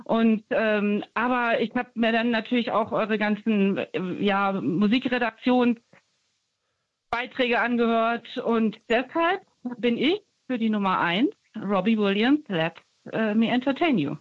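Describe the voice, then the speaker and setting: A woman's voice: on the high side, unhurried, no echo. A woman in her fifties calling a radio show, talking hesitantly with many fillers. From Germany. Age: 50s. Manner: hesitant, rambling, lots of fillers. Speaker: caller. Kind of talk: phone-in chat. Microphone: phone line. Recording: dull phone line.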